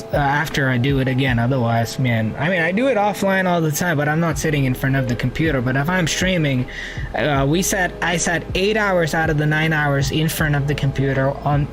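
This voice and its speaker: A man hosting a flight simulator live stream in a casual, chatty way, thinking aloud as he sets up the cockpit and greeting his viewers. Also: fairly high pitch. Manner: greets and thanks viewers warmly. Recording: music playing under the voice.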